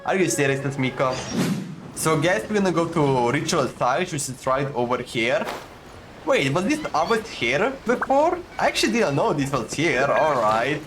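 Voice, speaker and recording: A man's voice: fairly high pitch, very animated, moderate pace. A young man doing casual, slangy gameplay commentary, reacting informally as he plays. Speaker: gaming streamer. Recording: voice over game music. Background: game music.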